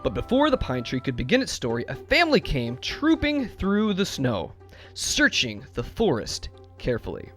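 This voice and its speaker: A man giving an expressive, dramatic storybook narration. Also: medium pitch, normal speed, faint music behind.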